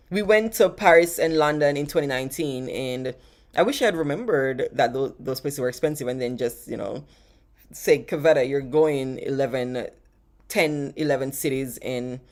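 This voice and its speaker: A woman with a Jamaican accent, chatting casually and rambling as she answers live-stream questions, often laughing and repeating words. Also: low-pitched. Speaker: travel vlogger answering live chat questions.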